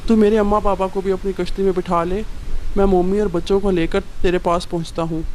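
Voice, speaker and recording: Male actor as cartoon bird husband, high pitch, hot-tempered, emphatic, faintly noisy recording. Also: flat delivery, brisk, darkish tone, natural voice. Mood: urgent. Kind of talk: Hindi cartoon story dialogue.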